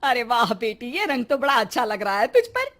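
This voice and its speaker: A woman's voice: fairly high pitch, sing-song, brisk, a bit bright. A woman playing a thrifty middle-aged mother-in-law in a family cartoon, motherly, advising and firm. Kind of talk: Hindi family cartoon dubbing. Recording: music under the voice.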